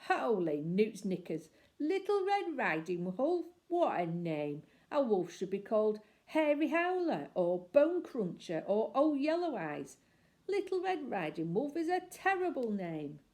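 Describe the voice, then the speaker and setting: A big, mean bully girl, as a woman acts her out, barks her lines loud and coarse, sneering and taunting. The voice is pushed up above the reader's own and has a mellow, dark tone. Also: on the high side, sing-song, a little slow, voice pushed up. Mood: mocking.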